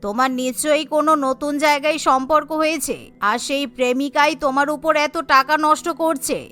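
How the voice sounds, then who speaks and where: Casting: woman as suspicious elderly wife, cartoon. Scornful, accusing, sharp-toned. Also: high voice, fairly even intonation, fairly quick, voice pushed down. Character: suspicious, scornful old wife berating her husband. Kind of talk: Bengali cartoon voice acting.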